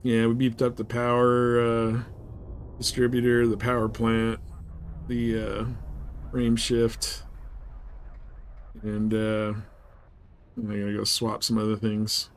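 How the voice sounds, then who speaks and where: A man's voice: medium pitch, completely flat delivery, takes it slowly, long pauses. A man chatting informally and slangily as he gives rambling live commentary on a video game he is streaming. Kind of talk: casual live gameplay commentary. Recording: clear background noise.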